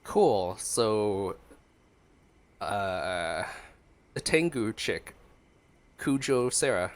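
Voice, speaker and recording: A man's voice: lowish voice, very animated, very slow. Offhand man doing casual game commentary. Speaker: streamer reacting to a game. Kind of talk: casual gameplay reaction commentary.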